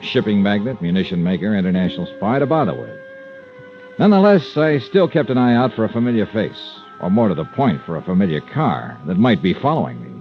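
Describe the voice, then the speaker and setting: An actor playing a determined, wry freelance insurance investigator in a vintage radio drama, with an American accent and clipped, punchy delivery. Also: male voice, medium pitch, close to an everyday voice. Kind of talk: 1950s radio detective drama, narration and dialogue. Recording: dull vintage radio recording with loud music.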